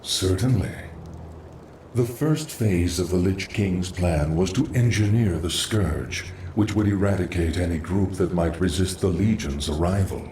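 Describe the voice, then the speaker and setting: An actor playing a cunning, servile undead lich sorcerer in a fantasy game, solemn and sinister, with an echoing effect. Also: male voice, low-pitched, measured pace, warm tone, a little hiss or hum. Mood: solemn, scheming. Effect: echoing reverb on the voice. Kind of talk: fantasy game cutscene acting. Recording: echoing, distant-sounding, music behind.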